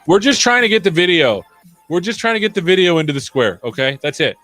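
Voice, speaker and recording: A man's voice: high-pitched, sing-song, fast, fairly bright tone, soft background music. A man hosting a developer livestream, coding live and chatting as he works, often muttering to himself. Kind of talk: live coding, thinking aloud.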